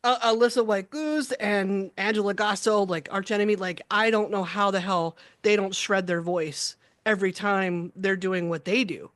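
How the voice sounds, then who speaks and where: A woman's voice: mid-range voice, moderate pace, hardly any pauses, dry recording. A woman hosting an interview podcast, asking rambling questions with enthusiasm and frequent laughter. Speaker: podcast host. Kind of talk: casual interview chat.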